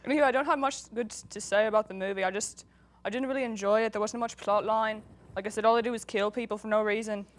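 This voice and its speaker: A woman's voice: mid-range voice, fairly even intonation, quick pace. A young woman co-hosting with skeptical, teasing remarks. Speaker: co-host. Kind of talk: comic banter.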